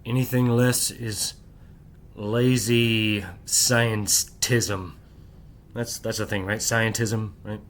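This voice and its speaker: A man's voice: lowish voice, flat delivery, slow pace, a little hiss or hum. A middle-aged man talking alone at night, rambling and asking "right?" after nearly every thought, keeping his voice down so he won't wake his family. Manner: rambling, repeats "right?" often; keeps voice down while family sleeps. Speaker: channel host. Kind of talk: late-night monologue to camera.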